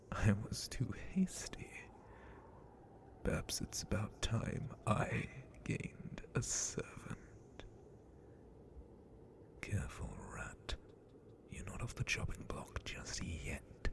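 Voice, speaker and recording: A man voicing an ancient, menacing, bored dragon captain in an ASMR roleplay, in a breathy, creaky whisper with a deliberate, condescending manner. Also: fairly low pitch, very animated, full character voice. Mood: taunting.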